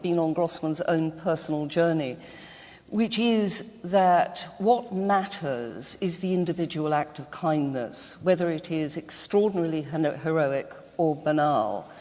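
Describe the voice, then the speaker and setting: A woman speaking as a panel guest in a literary discussion, with emphatic, deliberate phrasing. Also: lowish voice, a little mellow. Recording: reverberant hall.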